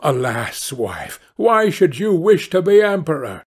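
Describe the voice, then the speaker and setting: A man's voice: a little high, very animated, measured pace, husky, exaggerated voice. A man voicing a meek, worried, henpecked fisherman in a fairy-tale audiobook, with a pleading, plaintive manner.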